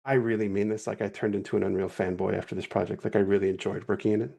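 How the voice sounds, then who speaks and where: A man's voice: lowish voice, very fast, vocal fry, breathy edge. A man talking casually on a livestream, often stopping to correct himself as he walks through a project. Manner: relaxed, rambling, self-correcting. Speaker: guest animator.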